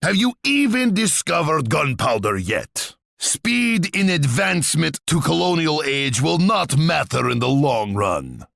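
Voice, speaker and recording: An imperious, scheming tsar from a strategy game, full of menace and sardonic pomp. The voice is gravelly with a hard, forceful edge, and it swings wildly in pitch as he savours each taunt. The recording is close and dry. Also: sing-song, unhurried, few pauses, no room sound, heavily acted. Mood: scornful, imperious. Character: scheming, imperious, wrathful Russian tsar, gloating warlord. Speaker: video game voice actor.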